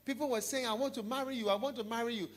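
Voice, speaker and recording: A middle-aged man preaching a sermon to a hall audience on a handheld microphone, emphatic and repetitive, dropping to a hush then rising loud. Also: very high voice, fairly even intonation, very fast. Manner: emphatic, repeats phrases, swings between hushed and loud.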